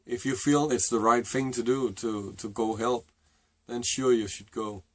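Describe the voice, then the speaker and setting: A middle-aged man with a Danish accent, a native Danish speaker, telling a personal story to camera in a halting, reflective way. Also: mid-range voice, fairly even intonation, no room sound. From Denmark. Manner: halting and reflective, with many fillers and restarts. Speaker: vlogger.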